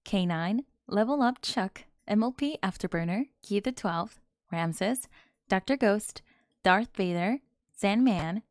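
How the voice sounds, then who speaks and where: A woman's voice: mid-range voice, animated, slow pace, dry recording, clean studio sound. A woman speaking as a channel host, delivering a scripted outro to the audience. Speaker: channel host, ASMR performer. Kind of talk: scripted outro with shout-outs.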